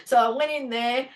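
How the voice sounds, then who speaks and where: A woman, a naturopath hosting a casual live teaching session, chatty and encouraging, her nose stuffy and blocked up. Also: sits high. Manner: chatty, encouraging, many fillers.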